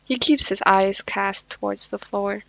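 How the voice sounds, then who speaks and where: A woman's voice: medium pitch, fairly even intonation, measured pace, creaky, a bit bright, no room sound. A woman reading fanfiction aloud at home, with casual, self-deprecating asides; English is not her first language. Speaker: podfic reader.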